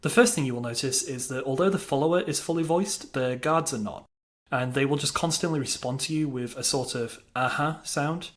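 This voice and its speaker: A man's voice: medium pitch, brisk. Wry man narrating a game review. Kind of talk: scripted video review narration.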